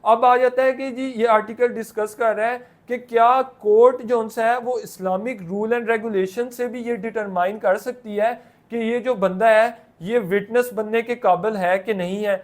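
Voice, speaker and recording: A man's voice: high voice, fairly even intonation, moderate pace, few pauses. A man with a Pakistani accent teaching law, explaining step by step in simple terms and often repeating himself. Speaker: law lecturer.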